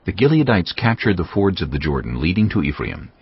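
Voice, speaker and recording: A man with an extremely low, husky voice narrates scripture. His scripted storytelling has wide, dramatic intonation, and the recording is a little dull. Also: big pitch swings, a little fast.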